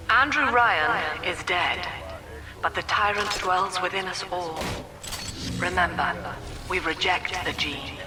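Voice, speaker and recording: A woman playing a cold, commanding psychiatrist and cult leader in a video game, speaking in measured, solemn oratory. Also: medium pitch, natural voice. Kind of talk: scripted dramatic game dialogue. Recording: echoey reverberant hall, music behind.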